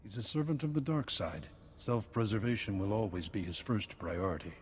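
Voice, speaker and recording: A calm solemn Jedi master. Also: male voice, on the low side, normal speed, husky, big-room sound. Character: wise male Jedi master, calm and steady. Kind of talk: game voice acting.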